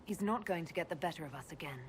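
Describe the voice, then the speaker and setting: A woman playing a composed, wry young elven leader in a video game, speaking in a measured way with a husky voice. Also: fairly low pitch, slight background noise, lightly acted. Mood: serious, composed. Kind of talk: video game cutscene acting.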